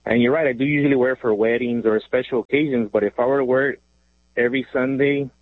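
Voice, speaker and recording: A man's voice: medium pitch, steady tone, quick pace, dry recording. A man from Mexico in his thirties calling a radio show from his car, talking casually and hesitantly with lots of ums. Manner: rambling, hesitant, lots of ums, laughs easily. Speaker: caller. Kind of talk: casual call-in chat. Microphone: phone. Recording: tinny phone line from a car.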